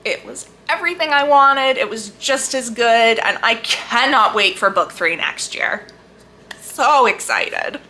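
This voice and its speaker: A woman book vlogger chatting casually and enthusiastically to camera, leaning hard on emphatic, drawn-out words. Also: a little high, expressive, pushed and bright. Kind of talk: casual chat to camera about books.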